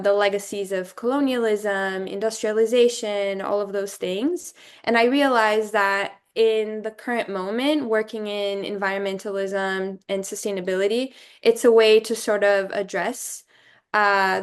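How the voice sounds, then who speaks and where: Thoughtful young woman from Brazil, smooth voice. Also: mid-range voice. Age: young adult. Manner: thoughtful, many fillers like "um" and "you know". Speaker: alumna guest. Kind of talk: casual interview conversation.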